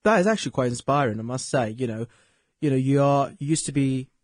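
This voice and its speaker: A man's voice: mid-range voice, expressive, fast talker, dry recording, clean studio sound. A man hosting an interview, asking long, rambling questions full of "you know" and restarts.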